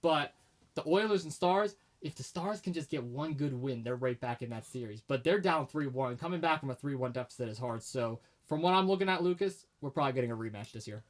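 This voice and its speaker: A man's voice: medium pitch, animated, a little fast. A man, co-hosting a casual sports podcast, making emphatic, opinionated predictions while starting to lose his voice. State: losing his voice. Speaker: podcast co-host.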